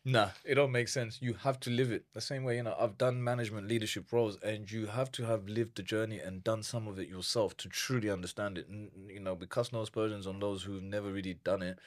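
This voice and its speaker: Low-voiced man from Zimbabwe. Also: fast talker, few pauses, no echo. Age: 30s. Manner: animated, repeats words when thinking. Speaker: nutrition coach guest. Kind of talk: casual interview chat.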